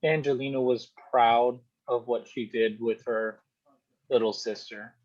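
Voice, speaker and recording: Guy student answering questions slowly, kinda hesitant, dull lo-fi audio. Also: mid-range voice, fairly even intonation, a little mellow. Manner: hesitant, pausing to think. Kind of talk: halting spoken answers.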